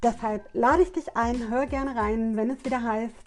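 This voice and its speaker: A woman's voice: fairly high pitch, fairly even intonation, moderate pace, faint music behind. A woman hosting a dating podcast, presenting her guest in an upbeat, fluent way. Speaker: podcast host. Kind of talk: podcast interview.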